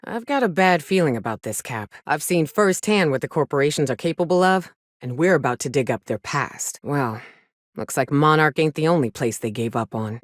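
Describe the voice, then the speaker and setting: An actress voicing a wry, plain-spoken frontier huntress with a folksy, sardonic manner in a video game. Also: female voice, low voice, no echo, studio quality. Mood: wary, sardonic. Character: gruff, wry frontier woman, monster hunter and loyal companion. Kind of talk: video game companion dialogue.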